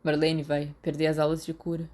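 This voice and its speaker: A woman's voice: low-pitched, monotone, a little fast, a little mellow, natural voice. A woman with a Brazilian Portuguese accent voicing a weak, sick young man who mumbles.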